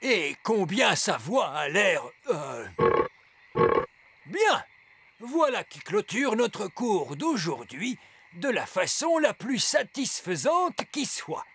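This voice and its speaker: A man's voice: sits high, sing-song, takes it slowly, background noise, full character voice. A man playing a wise old elf teacher in a children's cartoon, lecturing in a dry, pedantic, hesitant manner.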